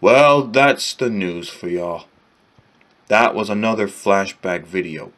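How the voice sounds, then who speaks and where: Young male vlogger, lowish voice, unhurried delivery, close webcam in a small bedroom. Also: a bit bright. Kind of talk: casual vlog to camera.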